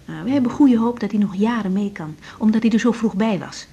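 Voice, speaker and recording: A woman's voice: medium pitch, a little fast, mellow, husky, lo-fi sound. A young woman acting a patient, tactful doctor in a 1980s naturalistic radio drama, explaining things carefully and reassuringly. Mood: gently reassuring. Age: young adult.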